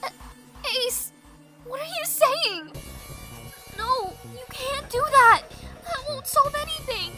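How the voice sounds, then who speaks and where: Very high, sharp, earnest young girl. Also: lively intonation, slow pace, pauses often, faint music behind, exaggerated voice. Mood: alarmed. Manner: pleading, stammering, insistent. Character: earnest, emotional young girl pleading to save everyone. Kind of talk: fan dub game acting.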